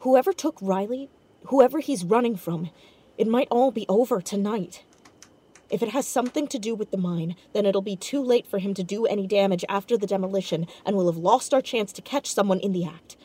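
An actress playing a determined young amateur sleuth in an audio drama, urgent and earnest. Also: female voice, mid-range voice, a little fast, mellow, slightly rough, natural voice. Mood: urgent, resolute. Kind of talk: scripted audio drama dialogue.

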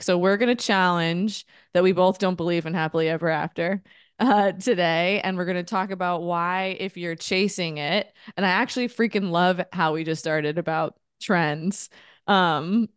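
A woman in her thirties co-hosting a podcast, earnest and animated, talking in long runs. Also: on the low side, moderate pace, smooth, dry recording, studio quality. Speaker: podcast co-host. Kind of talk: casual podcast chat.

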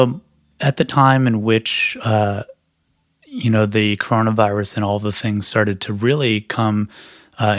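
A man's voice: on the low side, dry recording, studio quality. A man from Chicago, an artist and designer, talking at length in an interview with many fillers. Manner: chatty, lots of fillers. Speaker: guest, artist. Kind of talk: interview talk.

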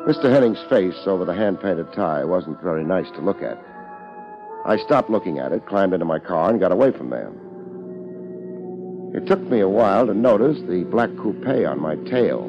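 An actor playing a laid-back, wisecracking private detective in a 1950s radio drama, with a wry, deadpan manner. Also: male voice, sits low, normal speed. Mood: wry narration. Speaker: lead actor and narrator. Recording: muffled old radio recording with music.

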